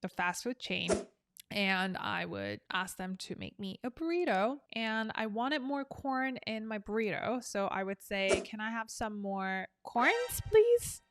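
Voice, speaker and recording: Slow-talking woman teaching English. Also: mid-range voice, big pitch swings, dry recording. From South Korea. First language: Korean. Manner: chatty and upbeat, explaining with examples. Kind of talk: casual online English lesson.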